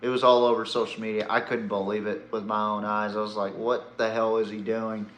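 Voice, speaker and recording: A man's voice: lowish voice, flat delivery, a little fast, a bit bright, roomy sound, slight background noise, rough recording. A man hosting a sports podcast, giving casual, opinionated commentary in a blunt way, swearing and throwing out rhetorical questions.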